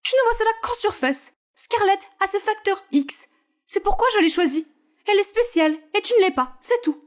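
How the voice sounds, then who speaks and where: A woman's voice: very high voice, animated, normal speed, pitched above the performer's own voice. A woman voicing a strict, cold mother who manages her daughter's career, talking in curt, dismissive orders.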